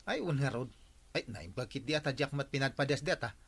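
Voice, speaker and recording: An actor with a Filipino accent playing an excitable, nosy villager who exclaims dramatically in a radio comedy drama. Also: male voice, medium pitch, fast, gravelly, husky, exaggerated voice. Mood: gossiping. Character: excitable, nosy villager called Enteng, pleading and teasing.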